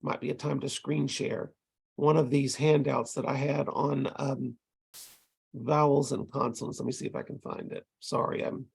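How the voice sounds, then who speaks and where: A middle-aged man with a Texas accent, giving a relaxed, conversational lecture over a video call with frequent ums and restarts. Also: medium pitch, moderate pace, creaky, husky. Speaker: ESL instructor presenting to tutors.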